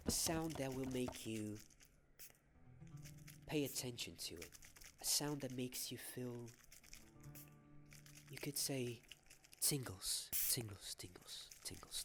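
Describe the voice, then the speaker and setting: A young man playing a kind, gentle wizard professor in a soft-spoken ASMR roleplay, soothing and full of pauses. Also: mid-range voice, noisy background. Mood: soothing, hushed. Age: young adult. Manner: slow, soothing, pausing, repeats words softly. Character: kind, gentle male wizard professor teaching defense class.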